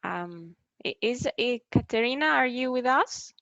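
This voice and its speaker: Woman, greek accent, 30s, halting speech, dry muffled call audio. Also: on the high side, expressive, gravelly, a bit bright, no echo. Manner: hesitant, many fillers and restarts. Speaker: co-editor hosting an online book launch. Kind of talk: online panel moderation. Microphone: video call. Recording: dull lo-fi video call audio.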